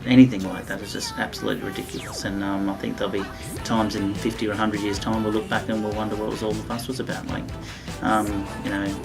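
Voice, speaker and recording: A man's voice: on the low side, little pitch movement, quick pace, music in the background. A man, giving informal interview answers.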